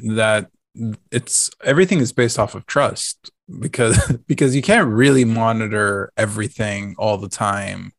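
Relaxed-talking man with a creaky voice. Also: on the low side, a little slow, no echo, clean studio sound. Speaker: podcast co-host. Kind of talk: casual chat.

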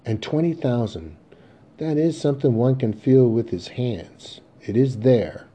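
A man playing a measured, skeptical older confidant with a deliberate, formal way of speaking. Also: mid-range voice, animated, warm tone, husky, pitched above the performer's own voice. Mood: yielding, weighty.

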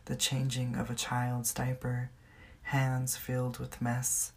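An American woman recites poetry in a very low, gravelly voice. Her delivery is slow and stays close to one pitch, as a poet reading from the page. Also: monotone. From California, United States.

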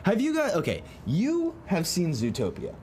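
A young man co-hosting a podcast in casual chat, animated and swearing freely. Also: high-pitched, moderate pace, slight background noise. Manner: animated, swearing, laughing often. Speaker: podcast co-host.

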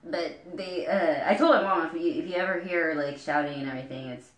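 A woman's voice: sits low, brisk, echoey room. A woman co-hosting a podcast in casual, playful banter, rambling from one tangent to another. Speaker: podcast co-host.

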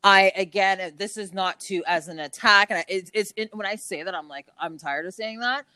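A woman's voice: medium pitch, normal speed, smooth, dry recording. A woman hosting a casual podcast interview, bubbly and laughing often, using affectionate pet names. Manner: bubbly, frequent laughter, warm affectionate address. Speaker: podcast host.

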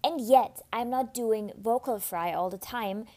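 High-voiced woman with slight vocal fry. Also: a little slow, dark tone. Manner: casual, chatty, candid, with fillers and restarts. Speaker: podcast host. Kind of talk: solo podcast monologue.

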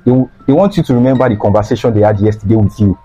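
A man's voice: lowish voice, very fast, warm tone, soft background music. A young man with a Nigerian accent, a student guest talking earnestly and repeating key phrases for emphasis. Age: young adult. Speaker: guest, student sweet seller. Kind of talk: casual interview talk. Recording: slightly dull lo-fi sound.

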